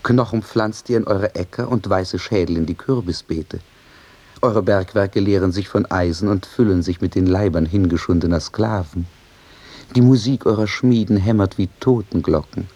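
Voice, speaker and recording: An actor playing a stern, reproachful god in solemn, rhetorical radio-play declamation. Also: male voice, low-pitched, moderate pace, dark tone, slightly rough. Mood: accusing. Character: stern, reproachful messenger god scolding mankind. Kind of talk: classical comedy radio play acting.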